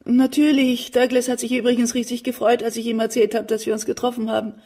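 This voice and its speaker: An actress playing a genteel, brittle older widowed mother in a German radio play, with a husky voice. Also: on the high side, little pitch movement, fast, warm tone, distant mic. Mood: eagerly sociable.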